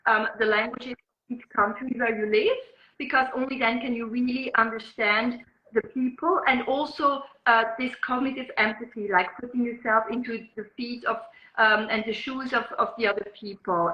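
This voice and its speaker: A woman's voice: on the high side, reverberant, lo-fi sound. A woman from Switzerland with a Swiss accent whose first language is Swiss German, speaking as a journalist guest in an interview, thoughtful and full of ums and uhs. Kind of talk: interview conversation.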